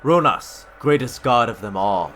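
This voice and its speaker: A man's voice: mid-range voice, expressive, measured pace, fairly bright tone, soft background music. A man voicing a stern, devout temple vizier in a fantasy audio drama, speaking in emphatic declamations. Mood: insistent, angry.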